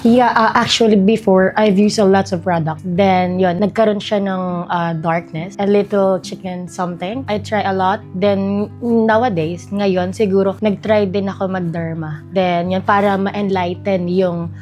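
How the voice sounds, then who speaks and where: Late-twenties woman, Filipino accent, medium pitch, informal interview answers, soft music behind. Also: steady tone, normal speed. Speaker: interview guest. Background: background music.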